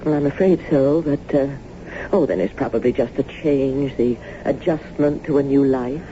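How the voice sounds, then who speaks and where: Genteel neighbor with a dark, mellow voice. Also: female voice, extremely low pitch, normal speed. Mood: courteous, solicitous. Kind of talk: radio drama acting. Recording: muffled, noisy old radio broadcast.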